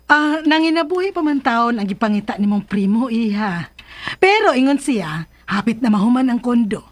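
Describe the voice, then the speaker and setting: Lilting, high-voiced kindly Filipino aunt. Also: female voice, animated, moderate pace. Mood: cheerful, welcoming. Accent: Filipino Cebuano. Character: kindly, chatty aunt welcoming a young relative. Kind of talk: Philippine radio drama acting.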